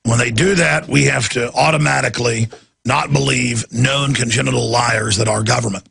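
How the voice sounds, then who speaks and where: Hoarse, raspy, gravelly man ranting on radio. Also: medium pitch, steady tone, moderate pace, background noise. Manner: ranting, indignant. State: hoarse, straining to talk. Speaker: radio talk show host. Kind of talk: combative political commentary.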